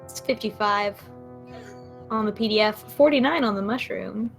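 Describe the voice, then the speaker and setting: A woman's voice: medium pitch, moderate pace, gravelly, faint music behind. A woman joining in a casual, joking group chat.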